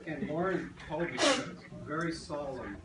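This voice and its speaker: A man's voice: a little high, sing-song, moderate pace, very noisy. Man giving a rambling toast, far off. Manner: rambling, hesitant storytelling. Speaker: party guest giving a toast. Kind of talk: casual spoken toast. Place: birthday party gathering. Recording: echoey room, distant mic, loud crowd.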